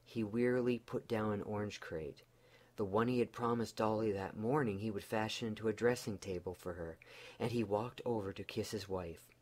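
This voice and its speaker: Guy w a fairly low voice reading a bedtime story, deliberate n mock serious. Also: steady tone, darkish tone.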